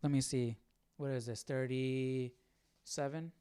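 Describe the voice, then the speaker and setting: A man's voice: medium pitch, steady tone, takes it slowly, mellow, smooth, no room sound. A man hosting a casual Bible study livestream, reading aloud and musing over it with informal questions. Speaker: livestream host.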